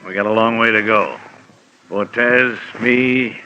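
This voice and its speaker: An actor playing a brutal armed gang thug in 1940s radio style, tough, slangy and menacing. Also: male voice, fairly low pitch, steady tone, slow, sharp tone, lo-fi sound. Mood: hard bargaining. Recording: noisy vintage radio drama recording.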